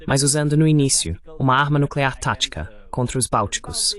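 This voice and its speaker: A man with a medium-pitched voice speaks as an interview guest in a voice-over translation. He delivers political commentary in an explanatory interview format. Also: lively intonation, brisk, fairly bright tone.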